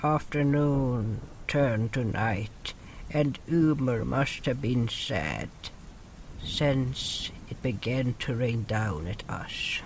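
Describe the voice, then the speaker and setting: Slow gravelly male storyteller over music. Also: medium pitch, a little hiss or hum. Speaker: narrator. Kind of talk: first-person storytelling narration. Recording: mono narration over music.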